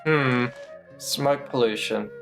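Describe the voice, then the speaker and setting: A man streams a game with casual, chatty commentary. His pitch is middling, and he talks back to the characters. Faint music plays in the background. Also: takes it slowly, creaky, a bit bright.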